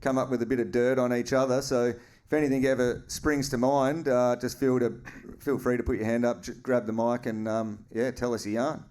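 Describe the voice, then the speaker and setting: Bloke hosting a podcast, teasing, slangy, mid-pitched voice. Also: male voice, flat delivery, a little fast, darkish tone. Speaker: podcast host. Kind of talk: casual banter on a live podcast.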